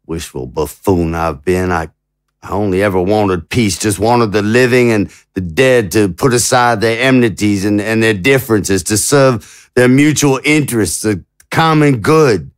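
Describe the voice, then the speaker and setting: Audio drama role: proud but naive president played by a man, lowish pitch, halting, stammering, indignant and anguished. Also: dry recording, studio quality, lightly acted. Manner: stammering, rhetorical. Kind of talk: dramatic audio fiction acting.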